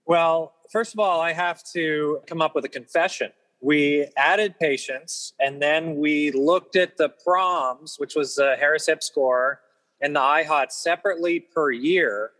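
A man's voice: on the high side, measured pace, a bit bright, rough recording. A man in his fifties from Massachusetts, a guest surgeon chatting relaxedly on a podcast panel. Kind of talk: casual panel talk.

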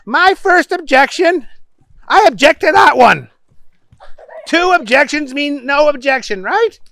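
A performer acts out a clueless man defending himself in a comic tale. The voice is high and bright, earnest and emphatic. He bumbles through simple words as if very sure of himself. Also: animated, measured pace, dry recording, full character voice. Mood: objecting, confused. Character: dim, earnest, muddled male defendant playing lawyer. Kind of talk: comic character voice in storytelling.

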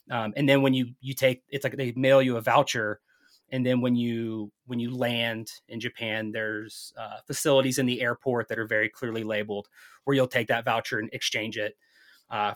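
A man's voice: mid-range voice, fairly bright tone. Man chatting casually, rambling storyteller. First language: English. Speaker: podcast guest. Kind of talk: casual chat.

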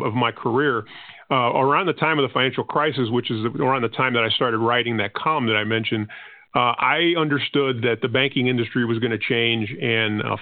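A man from Panama whose first language is Spanish, a guest on an interview podcast, explaining his career in a measured way with frequent fillers and restarts. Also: medium pitch, dry recording. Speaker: guest, finance consultant. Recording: tinny phone-line audio.

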